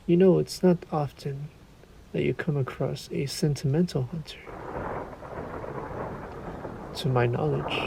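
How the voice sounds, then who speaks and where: A man playing a suave, sadistic vampire lord in an intimate audio roleplay, taunting the listener with silky, theatrical, deliberate phrasing. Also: fairly high pitch, gravelly, dark tone, a little hiss or hum, heavily acted, rough recording. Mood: mocking, curious.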